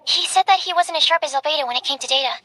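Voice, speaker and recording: A woman's voice: sits high, very fast, no room sound. A woman playing an earnest, bookish young female researcher in a video game, speaking politely and a little hesitantly. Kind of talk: anime-style video game voice acting. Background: soft game music.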